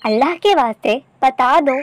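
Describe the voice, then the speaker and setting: A woman's voice: sits high, animated, moderate pace, slight background noise. A woman voicing anxious, insistent male merchants in a children's story. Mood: pleading. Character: two anxious male merchants seeking their lost camel.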